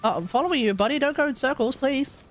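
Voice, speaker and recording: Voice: sits high, fast, soft background music. A streamer with an Australian accent giving casual, rambling, jokey live commentary on a game. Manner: rambling, jokey, easily amused.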